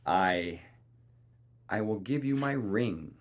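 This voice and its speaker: A man's voice: lowish voice, lively intonation, takes it slowly. A man voicing a despairing young miller's daughter in a fairy tale, hesitant and pleading.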